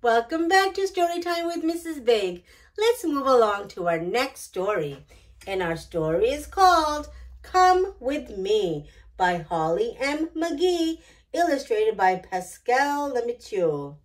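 Middle-aged female storytime host, slightly high voice, unhurried and expressive picture-book reading. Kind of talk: children's picture book read-aloud. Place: small decorated indoor storytime set.

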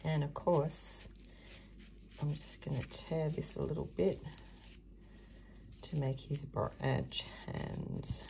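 Woman, hoarse croaky voice, dark mellow tone, chatty craft tutorial. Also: deep voice, steady tone, takes it slowly, pauses often, a little hiss or hum, rough recording. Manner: chatty, muttering asides while working. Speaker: craft video host. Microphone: overhead camera above a table, hands only.

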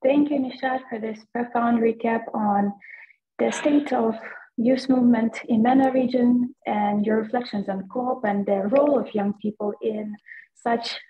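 A woman's voice: fairly high pitch, steady tone, measured pace. Woman moderating over a phone-like connection. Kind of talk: formal moderating of an online dialogue. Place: indoor room on a video call. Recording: thin phone-like video call audio.

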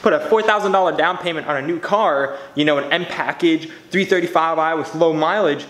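A man's voice: on the high side, fairly quick, a bit bright. A man in his twenties talking straight to camera in his home garage, earnest and slangy, addressing his viewers as "you guys". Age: 20s. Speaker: car channel vlogger. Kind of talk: casual vlog talk to camera. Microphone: camera mic. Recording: echoey garage room.

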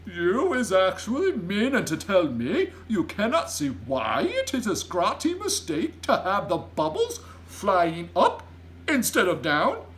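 A storyteller voicing a big, friendly, excitable male giant who exclaims in broken grammar and mangled words, from a children's storybook. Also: high voice, slow, few pauses, pitched above the performer's own voice, heavily acted, poor audio. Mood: incredulous. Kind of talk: children's storybook read aloud.

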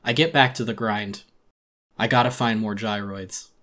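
A man's voice: on the low side, steady tone, moderate pace, a bit bright. A man giving a dramatic first-person audiobook narration of a horror story in a weary, brooding manner.

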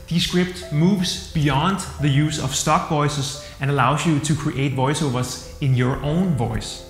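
Danish-accented man in a roomy hall. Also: on the high side. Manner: upbeat and enthusiastic, plain-spoken. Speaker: software reviewer. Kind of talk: casual review to camera. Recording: roomy hall-like echo with soft music.

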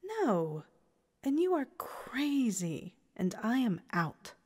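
A woman's voice: a little high, very animated, takes it slowly, a little mellow, breathy edge, pitched above the performer's own voice, exaggerated voice. A woman voicing a bewildered, defiant captive man who protests with alarm in an audio story. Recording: reverberant hall-like echo.

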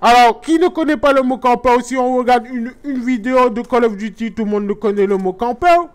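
A man's voice: very high voice, steady tone, brisk, clear, resonant tone. A man voicing a chatty middle-aged Guadeloupean mother who earnestly and naively explains a shooter game. She speaks with a Guadeloupean French accent and rambles in mock-naive asides. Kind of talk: comic gameplay commentary in character.

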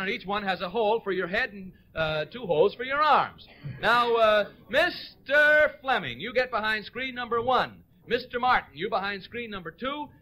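High-pitched, animated American radio quiz host. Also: male voice, low-quality recording. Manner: jocular, showman-like, addressing contestants and audience. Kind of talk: 1940s radio game show hosting.

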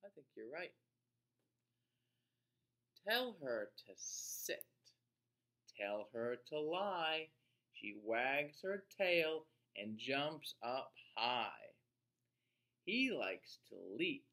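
Very slow, expressive man reading to children. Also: mid-range voice. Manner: deliberate, expressive, pausing to question listeners. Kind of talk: picture-book read-aloud for young children.

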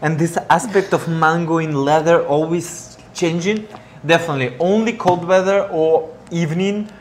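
A man in his thirties co-hosting a perfume review, talking casually and descriptively on a lapel mic in a bright studio. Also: fairly high pitch, fairly even intonation, moderate pace, a little hiss or hum. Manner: enthusiastic, descriptive, some non-native phrasing. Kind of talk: casual chat. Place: bright indoor studio with flower wall.

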